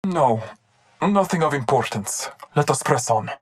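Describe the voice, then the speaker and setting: A devout hunter with a low, slow and solemn delivery. The speech is formal and old-fashioned. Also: female voice, expressive, exaggerated voice.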